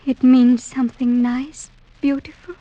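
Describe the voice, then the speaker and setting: A woman playing a gentle, shy young village maiden, soft and earnest, in a vintage radio drama. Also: high voice, flat delivery, measured pace, muffled recording. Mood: shy, tender. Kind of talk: 1940s-50s radio drama acting.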